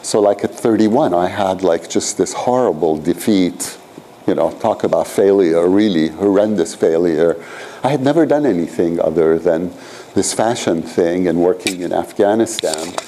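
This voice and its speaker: A man's voice: sits low, normal speed, warm tone, a little hiss or hum, rough recording. A man in his sixties from Iran, leading a graduate seminar and lecturing in a loose, rambling way full of fillers. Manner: rambling, filler-heavy, frequent "you know" and "frankly". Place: lecture room at a graduate school.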